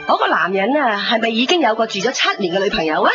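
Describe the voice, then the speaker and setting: A woman's voice: on the high side, very animated, fast. An actress playing a stern, protective middle-aged mother in a radio drama, reproachful and questioning, with a Hong Kong Cantonese accent. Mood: scolding. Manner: reproachful, firing rhetorical questions. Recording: dull 1990s radio recording under music.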